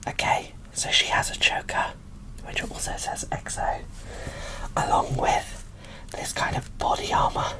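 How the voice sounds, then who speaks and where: A woman whispering casual, gushing ASMR commentary as she presents an unboxing, in a breathy, informal way. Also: unhurried, low-quality recording.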